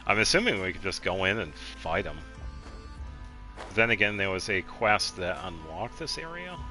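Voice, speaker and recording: A man's voice: deep voice, expressive, normal speed, bright, forceful tone. A man giving casual video game commentary, thinking aloud in a rambling way as he plays. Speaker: streamer playing a video game. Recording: game music faintly behind.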